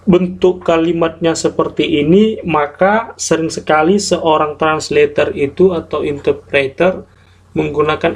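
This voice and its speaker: A man teaching a translation class online, explaining grammar slowly and step by step with English example sentences. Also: on the high side, vocal fry, rough recording.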